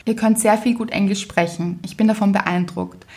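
A woman's voice: mid-range voice, fairly even intonation, moderate pace, warm tone, husky. A woman co-hosting a podcast, in casual chat and reading out listener mail. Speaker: podcast co-host.

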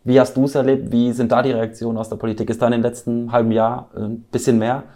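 A man's voice: medium pitch, level tone, quick pace, warm tone. A man hosting an interview podcast, talking in a conversational style.

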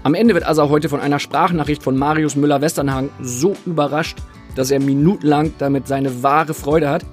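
A man hosting a podcast, upbeat and quick, introducing an episode and interviewing a guest. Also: fairly high pitch, darkish tone. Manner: upbeat, quick-talking host. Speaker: podcast host. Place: small press room in a stadium.

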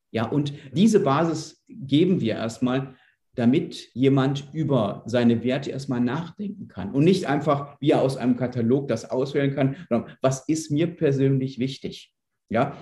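A man talks as an interview guest. His voice is mid-pitched and mellow, and he explains things conversationally. The recording has a clearly roomy echo. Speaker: podcast guest, managing director.

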